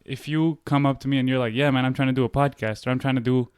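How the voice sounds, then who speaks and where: A man's voice: medium pitch, quick pace, clean tone, dry recording, clean studio sound. A young man from the San Francisco Bay Area, guest on a podcast, chatting casually with slang and easy laughs. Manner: relaxed, laughs easily, slangy. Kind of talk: casual chat.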